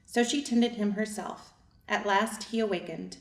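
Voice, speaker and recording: A woman's voice: medium pitch, measured pace, gravelly. A woman playing a stubborn Japanese servant who also narrates a staged play reading. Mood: narrating. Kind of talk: staged readers' theater acting and narration. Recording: echoey room, distant microphone.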